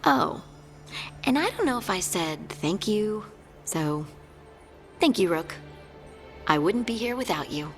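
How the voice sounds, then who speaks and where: An actress playing an earnest, grateful female adventurer in a video game, gentle and sincere with a playful side. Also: medium pitch, sing-song, slow, long pauses, soft background music. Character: earnest, warm, grateful female adventurer finding her strength.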